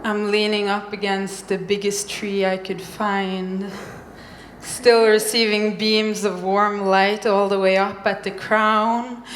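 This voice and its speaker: Young woman doing slow spoken word on a stage mic, echoey room. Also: mid-range voice, level tone, slight background noise. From Norway. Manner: slow, deliberate reading with pauses. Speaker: poet. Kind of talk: spoken-word poetry performance. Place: live event venue, indoors. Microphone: stage microphone. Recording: echoey room, faint ambience.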